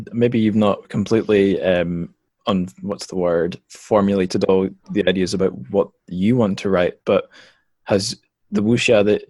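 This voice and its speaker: A man hosting a casual interview, speaking in a halting, thoughtful way as he works through his questions. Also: sits low, a little mellow, no echo. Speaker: podcast host and interviewer.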